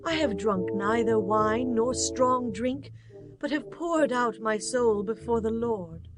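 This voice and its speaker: A woman's voice: on the high side, slow pace. A woman playing a devout, earnest wife of ancient Israel in a dramatized scripture reading, speaking reverently and with measure. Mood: sorrowful. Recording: music bed behind the voice.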